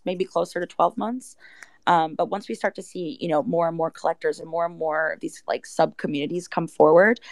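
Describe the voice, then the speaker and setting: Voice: medium pitch, dry recording. Person casually explaining, dry close sound. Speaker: guest, music NFT project team member. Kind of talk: casual live radio interview.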